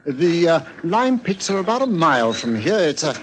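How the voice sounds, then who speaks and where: An actor playing a mild, hesitant professor, halting and matter-of-fact, in 1940s radio style. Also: male voice, fairly high pitch, sing-song. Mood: confiding. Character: mild, hesitant scholarly professor, local guide. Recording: old noisy 1940s radio recording, clicks.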